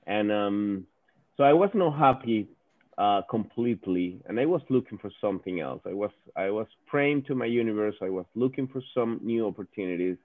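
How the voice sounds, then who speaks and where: A man's voice: on the low side, expressive, normal speed, dry recording. A man from Venezuela, a native Spanish speaker talking in accented English on a video call, earnest and often repeating words as he searches for them. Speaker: guest speaker, company executive. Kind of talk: informal talk on a video call. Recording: dry, phone-like video call audio.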